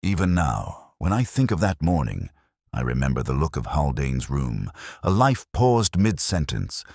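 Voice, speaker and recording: A man voicing a brooding, world-weary older detective, narrating a mystery audio drama in a measured, literary, wry manner. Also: extremely low pitch, very animated, creaky, a little mellow, husky, no room sound, clean studio sound, full character voice. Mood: reflective. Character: brooding, world-weary male Oxford detective recalling an old case.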